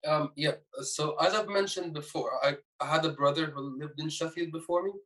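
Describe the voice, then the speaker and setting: A man's voice: a little high, steady tone, a little fast, a bit bright. Young man, distant from the mic. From Egypt. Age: young adult. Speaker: student panelist. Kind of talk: casual chat.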